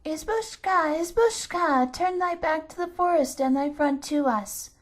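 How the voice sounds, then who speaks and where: A woman doing little orphan children. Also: a little slow. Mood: calling. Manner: high, polite and calling out.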